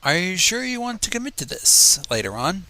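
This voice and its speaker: A regal female pony mentor, acted by a man reading fanfiction, questions sternly. The voice is bright and creaky, with expressive rises and falls. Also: high-pitched, normal speed, full character voice. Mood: stern, doubtful.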